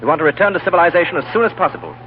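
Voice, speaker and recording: An actor playing a suspicious, impatient Western traveler with an agitated, hurried manner, in 1940s radio drama. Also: male voice, a little high, expressive, lightly acted. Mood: brisk, uneasy. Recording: dull old radio recording, loud noise.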